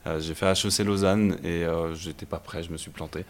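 A young man from Belgium, a startup founder telling his story in a casual interview, relaxed and hesitant with many fillers. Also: sits low, brisk. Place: startup incubator office.

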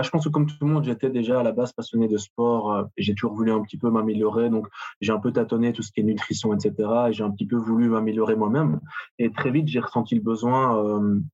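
A man with a Belgian French accent talks through his story in halting bursts, full of small restarts. His voice is husky. The recording sounds dull and muffled. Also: mid-range voice, darkish tone. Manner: hesitant, many fillers and restarts. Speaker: guest, personal trainer and gym owner. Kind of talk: casual interview answers.

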